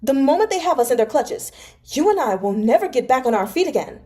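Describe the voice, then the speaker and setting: Female reader as seething nobleman in a novel, high and emphatic, grim exasperation. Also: sing-song, brisk, warm tone. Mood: bitter, alarmed. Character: seething, cornered clan man, bitter and grimly ranting. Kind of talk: dramatic audiobook-like novel read-aloud.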